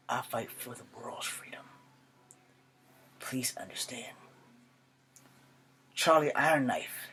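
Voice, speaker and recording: Man acting hushed, solemn young soldier. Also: medium pitch. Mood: pleading, proud. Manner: hushed, halting, earnest delivery. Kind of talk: dramatic acted monologue.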